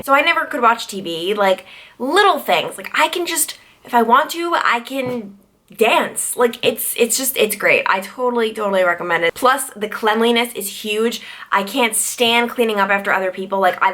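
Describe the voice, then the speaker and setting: A woman in her twenties from Long Island, New York, answering viewer questions in a casual vlog. She talks in a chatty way, full of "like", and her pitch jumps high when she gets excited. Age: 20s. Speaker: TV news reporter and vlogger.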